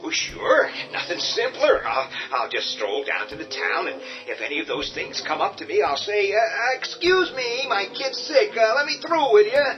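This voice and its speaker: A whiny cowardly husband. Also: male voice, high-pitched, big pitch swings, moderate pace, hardly any pauses. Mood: sarcastic. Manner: whiny, sarcastic, panicky. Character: cowardly whining middle-aged husband. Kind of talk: radio drama acting. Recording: dull lo-fi audio with music.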